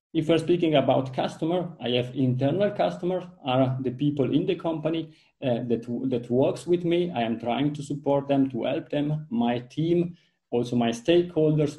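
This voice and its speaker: A man's voice: a little high, moderate pace, warm tone. A man in his thirties from southern Switzerland, with an Italian accent, talking earnestly as an interview guest from his home office over a video call. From Ticino, southern Switzerland. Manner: earnest, with frequent restarts and fillers. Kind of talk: casual interview talk.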